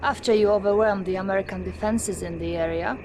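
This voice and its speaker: Casting: a woman as a teasing female officer, mid-pitched, brisk briefing delivery. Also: steady tone, background noise, lightly acted. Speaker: military officer briefing the general. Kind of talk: full-motion video game cutscene.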